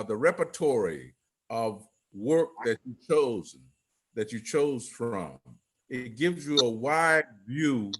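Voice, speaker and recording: Older man speaking haltingly, informally. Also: mid-range voice. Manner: halting, rambling delivery. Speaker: theatre company leader. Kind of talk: informal closing remarks. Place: group video call.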